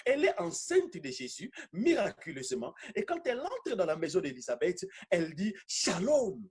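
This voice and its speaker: A man preaching an animated, charismatic teaching sermon, emphatic and repeating phrases for effect. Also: sits high, moderate pace, husky.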